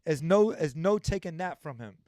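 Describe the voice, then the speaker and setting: A man's voice: a little high, animated, moderate pace, a little mellow, no room sound, clean studio sound. A man hosting a livestream interview, talking emphatically and repeating phrases for stress. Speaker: livestream host.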